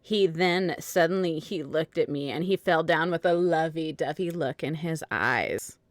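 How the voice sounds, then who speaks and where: A woman's voice: low voice, a little slow, no echo. A woman narrating a comic dramatic reading of fan fiction, with casual asides, as host.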